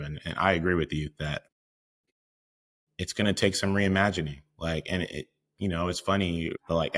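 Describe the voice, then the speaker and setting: A man with a very deep, low voice, co-hosting a podcast. He speaks earnestly and reflectively, pausing on "uh" and "um" as he works through his thoughts. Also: fairly bright tone. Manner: earnest and reflective, with frequent hesitations. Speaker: podcast co-host. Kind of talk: casual conversational chat.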